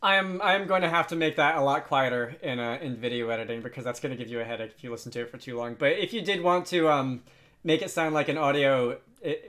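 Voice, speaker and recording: A man's voice: medium pitch, fairly bright tone. A man presenting an unscripted game development tutorial in a casual, hesitant, rambling and self-deprecating way.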